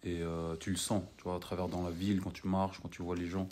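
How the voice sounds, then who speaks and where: Very low-voiced man, chatty hockey player. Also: quick pace, a little hiss or hum. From Cameroon. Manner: relaxed, chatty, peppers talk with "tu vois". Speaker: ice hockey player, guest. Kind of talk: casual interview chat.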